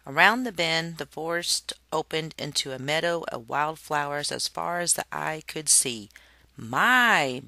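A storybook reader giving an expressive read-aloud narration for children. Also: medium pitch, normal speed, vocal fry, few pauses, no echo.

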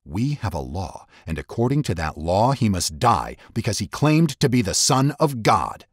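A man voicing the angry priests of a mob, shouting forcefully and insistently for an execution in a scripture reading. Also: on the low side, big pitch swings, moderate pace, husky, no echo, clean studio sound. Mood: accusing.

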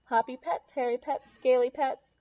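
A young woman reading a picture book aloud to children in an animated, expressive way. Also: high-pitched, moderate pace, highs cut off. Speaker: children's read-aloud host. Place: small room at home.

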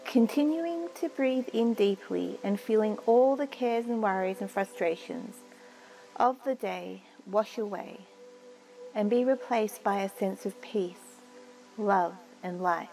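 A woman narrating a guided meditation in a soothing, measured way with long pauses. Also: mid-range voice, warm tone, low-quality recording. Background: music.

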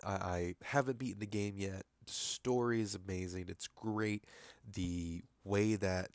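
A man's voice: low-pitched, a little mellow, dry recording. A young man with a stuffy nose from a cold, rambling in a casual vlog with lots of fillers and restarts as he talks over his screen. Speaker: vlogger.

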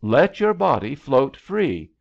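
Reader playing gallant male storybook hero: commanding, pitched up, emphatic, slightly dull recording. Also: expressive, takes it slowly, vocal fry, darkish tone, heavily acted. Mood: urgent. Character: bold, gallant male swimmer, protective and steady.

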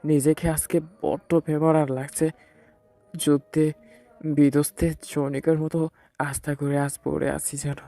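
A man performs a heartbroken husband narrating a dramatic love story. His voice is mellow and a little high for a man, earnest and sorrowful, recorded close and dry with music behind it. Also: level tone, measured pace, vocal fry, no echo. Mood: pained, tense. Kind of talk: dramatic first-person audio story narration.

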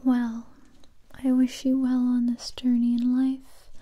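A young woman performing an ASMR roleplay in a soft, breathy whisper close to the microphone, gentle, hesitant and unhurried. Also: a little high, monotone. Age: young adult.

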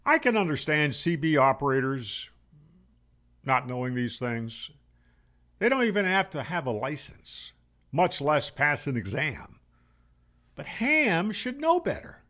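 American man in his seventies, animated swooping intonation, slightly high pitch, wry conversational explainer, close desk mic at home. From United States. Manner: conversational, opinionated, wry, asks rhetorical questions. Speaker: ham radio video host. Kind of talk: casual explainer to camera. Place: home room, indoors. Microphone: desk microphone on boom arm, close.

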